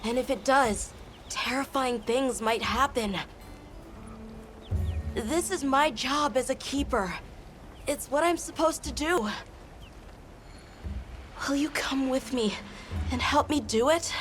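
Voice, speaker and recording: A woman's voice: high-pitched, slow pace, long pauses, a bit bright, soft background music. A woman playing an earnest young boy keeper. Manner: earnest, determined, sincere. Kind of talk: video game cutscene dialogue.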